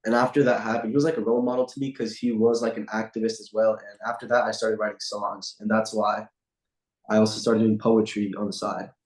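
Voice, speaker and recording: A young man, a student poet whose first language is Punjabi, reciting a spoken-word poem in a measured, rhythmic way over a video call, then chatting casually. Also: lowish voice, little pitch movement, a little mellow, low-quality recording.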